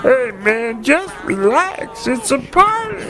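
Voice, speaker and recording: A man voicing a meek, freeloading party guest in a comedy cartoon, slow and polite with a creaky voice. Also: very high-pitched, very animated, full character voice. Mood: mild. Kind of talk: comedy cartoon character acting.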